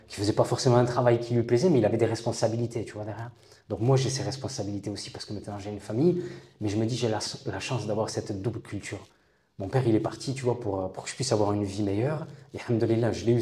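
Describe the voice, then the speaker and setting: A man in his late thirties from southern France, a guest telling his own story in a thoughtful, halting way with many pauses and restarts. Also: lowish voice, dark tone, roomy sound. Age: late 30s. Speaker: interview guest. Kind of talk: reflective personal storytelling.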